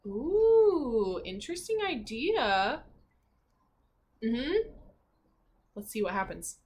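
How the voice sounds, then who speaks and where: Slow, expressive woman reading to children. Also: fairly high pitch. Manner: expressive, pausing to comment and question the listener. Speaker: teacher reading aloud. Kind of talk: children's storybook read-aloud.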